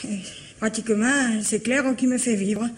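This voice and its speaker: A woman's voice: fairly high pitch, fairly even intonation, moderate pace, slight background noise, close to an everyday voice. A woman acting an anxious, evasive aunt in her seventies in a French radio mystery, hesitant and plaintive. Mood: plaintive, confessing. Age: older. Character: anxious, evasive 73-year-old aunt, a widowly homebody.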